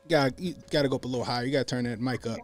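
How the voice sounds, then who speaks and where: A man's voice: medium pitch, very fast. An American man in his late thirties hosting a stream in casual, slangy, salty-tongued, joking chat. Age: late 30s. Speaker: podcast and livestream host. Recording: music bed under speech. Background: music.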